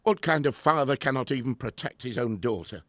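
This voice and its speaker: A man acting in a radio drama as a middle-aged 1920s professor and grieving father, formal and earnest, halting when troubled. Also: medium pitch, lively intonation, dry recording, close to an everyday voice. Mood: bitter, angry.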